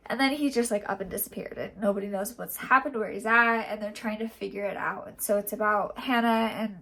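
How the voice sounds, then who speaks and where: A woman's voice: mid-range voice, steady tone, a little fast, pushed and bright. A young woman with a cold and a congested, nasal voice, chatting casually in a rambling reading update full of fillers. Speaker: book vlogger.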